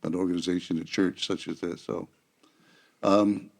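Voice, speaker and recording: An older man chatting casually and telling stories in a jokey, animated way, as a church elder. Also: low-pitched, normal speed, gravelly. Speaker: church elder, seniors' group member. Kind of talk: casual chat, storytelling.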